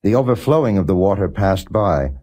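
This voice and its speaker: A man giving a non-dramatized scripture reading as a narrator, in a measured, solemn and formal way. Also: low-pitched, animated, dark tone. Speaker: Bible reader, audiobook narrator.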